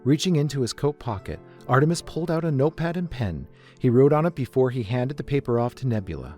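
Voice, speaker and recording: A man's voice: mid-range voice, expressive, fast talker, warm tone. A man narrating a scripted story as a reader, setting the scene between characters' dialogue. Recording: music under the voice.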